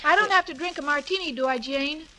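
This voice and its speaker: A woman's voice: high-pitched, fast talker, pushed and bright. An actress playing a naive, scatterbrained, cheerfully literal young woman in a 1940s radio sitcom, earnest and oblivious. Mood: confident, childlike. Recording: noisy old 1940s radio recording.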